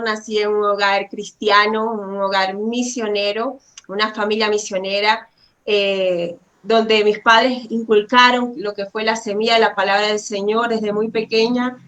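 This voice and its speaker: A woman's voice: mid-range voice, fairly even intonation, a little slow, a bit bright. A woman, a pastor's wife and program guest, sharing personal testimony in a courteous, devout, conversational way with frequent tag questions. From Venezuela.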